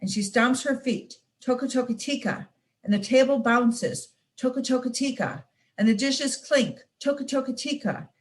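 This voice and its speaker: A woman's voice: high voice, moderate pace. Young woman reading a picture book aloud. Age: young adult. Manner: expressive read-aloud, playful with sound words. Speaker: student reader.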